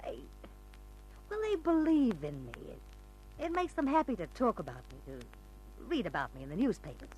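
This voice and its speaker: A woman's voice: on the high side, huge pitch swings, normal speed, warm tone, low-quality recording. An actress playing a brash, slangy, eccentric woman with a shrewd side in an old-time radio drama. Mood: amused, confiding. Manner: slangy, bold, theatrical. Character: brash eccentric older woman, street character secretly shrewd and refined. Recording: old radio recording with music.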